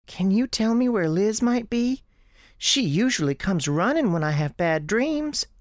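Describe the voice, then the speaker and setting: Actress as earnest little Southern girl, lively pitch. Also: unhurried, dry recording, studio quality, close to an everyday voice. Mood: troubled. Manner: earnest, childlike, polite. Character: lost young Southern girl, anxious, polite, earnest. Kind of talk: radio drama acting.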